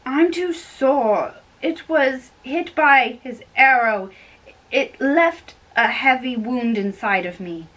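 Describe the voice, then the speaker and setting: A woman playing a sad, lovesick young man in an amateur stage play reading, halting and plaintive, away from the mic. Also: high-pitched, a bit bright, distant mic, full character voice. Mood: mournful.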